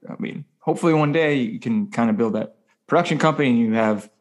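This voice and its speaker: A man's voice: mid-range voice, quick pace. A man from the American Midwest hosting a podcast, chatting casually with a joking, self-deprecating manner. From US Midwest (Ohio). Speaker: podcast host. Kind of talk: casual conversational interview.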